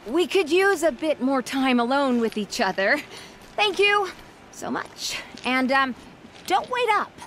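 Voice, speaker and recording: A woman's voice: sits high, sing-song, unhurried, fairly bright tone, a little hiss or hum, heavily acted. An actress playing a brash young woman in love in a video game, coy, playful and suggestive. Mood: coy, grateful. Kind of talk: video game dialogue acting.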